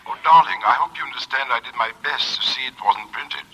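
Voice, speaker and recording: An actor playing a courteous, solicitous restaurant owner through a telephone filter in a 1940s radio drama. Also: male voice, mid-range voice, fairly quick, bright, forceful tone, breathy edge. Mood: apologetic, soothing. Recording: tinny phone filter in old radio recording.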